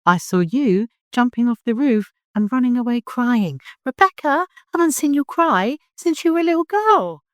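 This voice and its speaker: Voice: medium pitch, animated, moderate pace, few pauses, a bit bright, dry recording, studio quality, voice pushed up, lightly acted. A narrator voicing an older woman, a devoted nurse who scolds and frets in urgent exclamations. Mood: anxious questioning.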